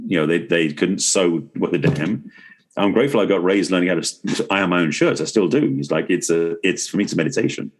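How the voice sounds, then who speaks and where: A man's voice: low-pitched, fast talker, slight background noise. A middle-aged or older man explaining conversationally as an interview guest, in short phrases with frequent restarts. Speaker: podcast guest, relationship expert.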